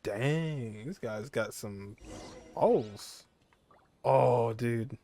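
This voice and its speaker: A man's voice: mid-range voice, very slow, dark tone, slight background noise. A young man giving informal let's play commentary, reacting aloud to the game with its music behind him. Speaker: gaming streamer.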